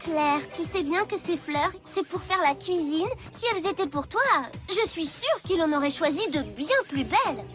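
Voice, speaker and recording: A woman's voice: very high voice, animated, moderate pace, few pauses. A woman voicing a cheerful, polite young girl who cooks, in a French cartoon dub. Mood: gentle, teasing. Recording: music playing under the voice. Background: children's music.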